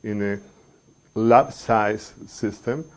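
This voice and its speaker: Middle-aged researcher, measured and halting. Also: male voice, fairly low pitch, steady tone, a little mellow. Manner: measured, pausing often with fillers, non-native phrasing. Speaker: engineering researcher. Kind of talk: explaining research to a general audience.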